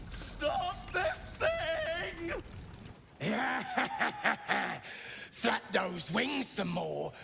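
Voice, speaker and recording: A man voicing a cruel, jeering goblin gang boss in a video game, shrill and gravelly. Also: pushed and bright, slight background noise, exaggerated voice.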